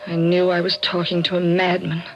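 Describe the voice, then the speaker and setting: A woman's voice: lowish voice, monotone, brisk, close to an everyday voice. A woman playing a frightened widow in a 1940s radio mystery, speaking and narrating with dramatic, controlled dread. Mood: chilled dread. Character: frightened widow recounting her encounter with a killer. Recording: dull vintage radio recording. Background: music.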